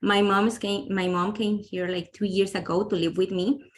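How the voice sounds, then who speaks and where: A woman's voice: medium pitch, level tone, normal speed. Woman presenting books, chatty. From Honduras. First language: Spanish. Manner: enthusiastic, chatty, many fillers. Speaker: book presenter. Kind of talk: webinar book presentation.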